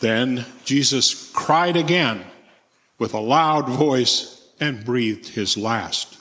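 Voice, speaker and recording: A man narrates scripture at a church reading in a solemn, measured way. His pitch is medium and his pace is slow. Long reverb from a large space surrounds his voice. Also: fairly bright tone.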